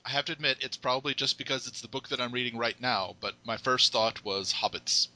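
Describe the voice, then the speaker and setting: Bright-voiced jokey podcast host. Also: male voice, mid-range voice, brisk, low-quality recording. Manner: playful and jokey, fond of silly asides. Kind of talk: casual podcast interview.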